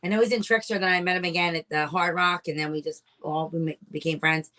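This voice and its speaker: A woman co-hosting a podcast in casual chat, bubbly and effusive, quick to praise her guest. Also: lowish voice, steady tone, pushed and bright. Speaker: podcast co-host.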